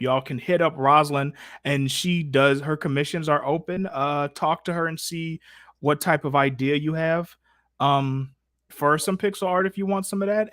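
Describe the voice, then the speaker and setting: An American man hosts a live stream in a fairly high voice, recorded dry and close. He chats casually with his viewers, effusive and full of slang and swearing. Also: a bit bright, no room sound. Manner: informal, slangy, profane, effusive.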